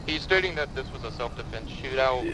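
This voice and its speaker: A man playing a police officer giving formal, measured reports over the radio in an online role-play. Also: medium pitch, lively intonation, crowd noise, close to an everyday voice. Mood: businesslike. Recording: noisy gaming radio chat.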